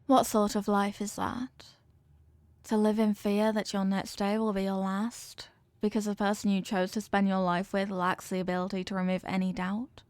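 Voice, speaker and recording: An evil queen, played by a woman in a scripted audio roleplay, speaks with formal, measured authority. She is possessive and obsessively in love, with menace veiled under courtly manners. The sound is dry, with very little room in it. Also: medium pitch, little pitch movement, darkish tone, no echo, lightly acted. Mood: possessive reassurance. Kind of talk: scripted roleplay monologue, ASMR audio drama.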